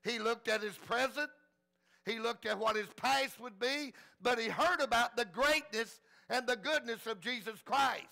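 A man's voice: extremely high pitch, a little slow, creaky, sharp tone. A man preaching a fervent sermon in a folksy, plain-spoken way, repeating phrases for emphasis. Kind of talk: fervent sermon retelling Bible stories.

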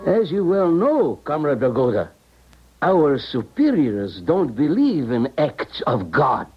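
Stern, husky, high-voiced colonel. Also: male voice, wildly swooping pitch, soft background music, heavily acted. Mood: stern, wary. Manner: formal, measured, clipped phrasing. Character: stern, formal Eastern Bloc colonel, wary handler. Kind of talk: 1970s radio drama acting. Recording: muffled old radio broadcast.

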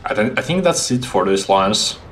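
A man's voice: on the low side, expressive, normal speed. A young man giving casual, amused and reactive commentary while livestreaming a game. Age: young adult. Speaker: livestreaming gamer. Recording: noisy stream with game sound.

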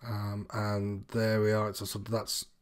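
Low-voiced man explaining informally. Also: monotone, normal speed. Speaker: sailing instructor presenting tutorial. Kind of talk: informal app walkthrough, unscripted explanation.

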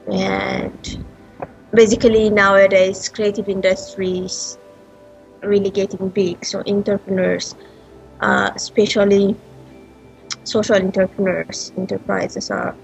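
Gravelly Ethiopian woman on a video call. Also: medium pitch, little pitch movement, slow, pauses often, faint music behind, low-quality recording. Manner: earnest, with frequent hesitations and restarts. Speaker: entrepreneur interviewee. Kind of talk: interview answers. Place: small indoor room. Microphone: earbud headset on a video call.

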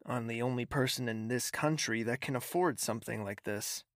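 A man playing a wealthy, smitten, possessive young nobleman in an intimate audio drama, speaking in a husky, teasing, courtly way. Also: mid-range voice, moderate pace, no room sound, studio quality, lightly acted. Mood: assured, devoted. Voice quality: husky, breathy, creaky.

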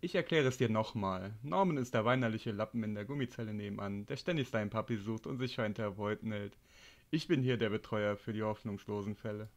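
A man's voice: lowish voice, fast, lightly acted. Man acting as impatient, condescending psychiatric ward carer. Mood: patronising. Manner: condescending, exasperated. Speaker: voice actor in a parody audio drama. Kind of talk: comic audio-play acting.

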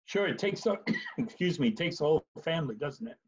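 Husky middle-aged man. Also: fairly high pitch, very animated, fairly quick. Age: 50s. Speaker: interviewer. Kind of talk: casual interview chat. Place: room at home, video call. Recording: slightly dull video-call audio.

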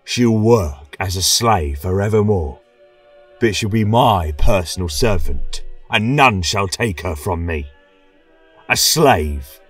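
A man's voice: sits low, slightly rough, distant mic, heavily acted. A man voicing a brutal, possessive barbarian warlord, domineering and menacing, in a storytelling podcast.

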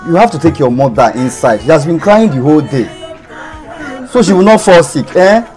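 An actor playing a calm family friend in a film drama, consoling and gently insistent. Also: male voice, on the high side, normal speed, soft background music, close to an everyday voice. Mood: gently advising.

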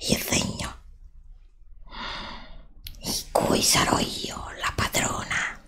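A middle-aged woman voicing a scheming evil witch queen of darkness in an ASMR roleplay, hissing curses in slow menacing whispers close to a desk mic. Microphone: desk condenser mic close up.

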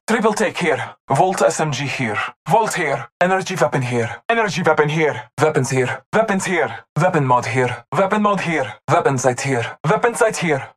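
A man's voice: fairly high pitch, fairly bright tone. A man voicing a solemn, devout hunter warrior in a video game, speaking in formal, ceremonial phrases. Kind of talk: video game character callouts.

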